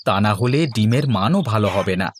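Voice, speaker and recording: A man's voice: fairly low pitch, a little fast, a little hiss or hum, close to an everyday voice. A man playing an earnest village chicken farmer in scripted cartoon dialogue. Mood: worried.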